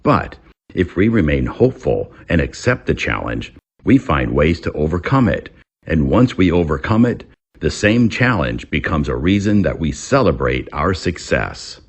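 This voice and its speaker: A man reading an English lesson aloud in a deliberate, instructional way, as a teacher does. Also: a bass voice, big pitch swings, breathy edge.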